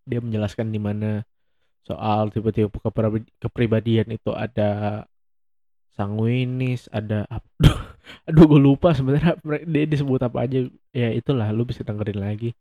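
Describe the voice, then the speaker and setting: A man's voice: fairly low pitch, steady tone, moderate pace, no room sound, dull audio. A young man hosting a solo podcast, chatting casually in a rambling, self-mocking way and laughing often. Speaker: podcast host.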